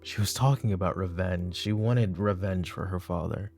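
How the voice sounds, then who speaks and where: A man playing a weary, brooding middle-aged mafia boss and father in a roleplay audio drama, speaking in a halting, intimate way. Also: a little mellow, soft background music. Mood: uneasy, brooding. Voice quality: gravelly, low. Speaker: voice actor.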